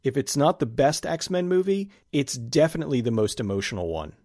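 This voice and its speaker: A man giving a casual, enthusiastic movie review, his voice raspy and nearly gone. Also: medium pitch, very animated, a little fast, a little mellow, no echo, clean studio sound. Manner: enthusiastic, conversational, casual swearing.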